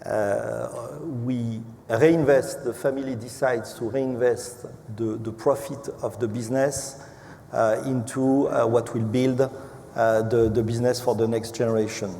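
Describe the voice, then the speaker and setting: A man's voice: medium pitch, steady tone, creaky, dark tone. French guy exec talking on a panel, hesitant, lots of restarts, echoey hall w noise behind. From France. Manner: halting, hesitant, repeats words. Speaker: company executive on a panel. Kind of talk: unscripted panel remarks. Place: large event hall.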